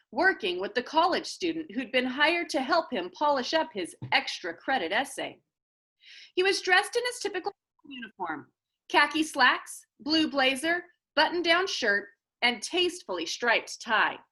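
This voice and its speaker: A woman narrates a children's book expressively. Her voice is fairly high and bright, with lively, wide-ranging intonation. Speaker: read-aloud narrator.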